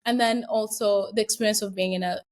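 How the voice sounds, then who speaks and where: A woman's voice: medium pitch, steady tone, brisk. Chatty young Kenyan woman. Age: young adult. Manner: chatty, reflective, frequent fillers and laughs. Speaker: guest, university student. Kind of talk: casual interview conversation.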